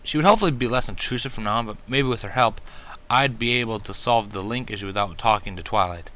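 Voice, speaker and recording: Bright, low-voiced man narrating a story. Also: fast. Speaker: fan fiction reader. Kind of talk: expressive first-person story narration.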